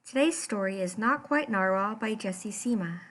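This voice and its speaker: A woman reading a children's picture book aloud as expressive storytime narration. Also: mid-range voice, normal speed.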